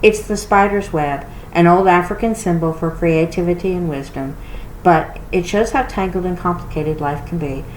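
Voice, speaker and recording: A woman voicing a loving, wise old grandmother with a gentle, folksy manner, in a storybook reading. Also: on the low side, normal speed, lightly acted. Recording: roomy echo with clear noise.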